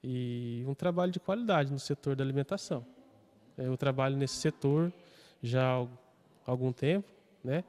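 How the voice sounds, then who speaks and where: A man, an entrepreneur, speaks informally in a news interview. His voice is mellow, and the recording has a long reverb. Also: mid-range voice, moderate pace. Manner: casual, informal speech. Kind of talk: street interview.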